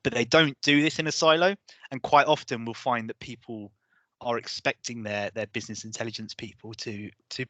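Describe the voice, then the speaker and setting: A man presenting an online course session, hesitant with many restarts, his voice going hoarse. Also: mid-range voice, fairly even intonation, moderate pace, a bit bright, dry recording. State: losing his voice. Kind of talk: online webinar lecture.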